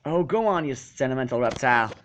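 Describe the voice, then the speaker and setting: A man's voice: mid-range voice, expressive, fast talker, fairly bright tone, slight background noise, lightly acted. A man voicing a blunt, teasing, affectionate female farm pony. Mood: fondly teasing. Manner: instructive, frank, folksy.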